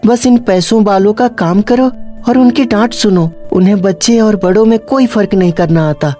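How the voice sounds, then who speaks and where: A woman's voice: mid-range voice, normal speed, dark tone, soft background music, close to an everyday voice. A woman voicing a kind, humble working boy, an earnest older brother in a cartoon story. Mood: resigned.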